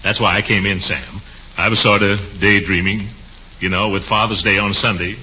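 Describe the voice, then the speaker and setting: An actor playing a pompous, blustering middle-aged uncle in a 1940s radio comedy, full of puns and chuckles. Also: male voice, fairly low pitch, steady tone, moderate pace, sharp tone, slight background noise. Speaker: comic lead of a radio sitcom. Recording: dull lo-fi 1940s radio recording.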